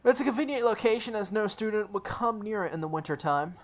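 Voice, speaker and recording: A woman reading a visual novel aloud in first person, with expressive delivery, occasional stumbles and her own asides. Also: mid-range voice, fast talker. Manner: expressive, self-correcting reading.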